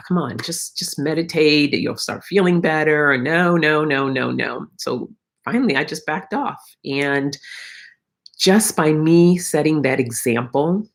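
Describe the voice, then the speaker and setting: Middle-aged female livestream host, spiritual guidance chat; tired, froggy throat with heavy vocal fry, encouraging direct address. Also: very deep voice. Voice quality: slightly froggy, croaky. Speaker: host of a live oracle card reading.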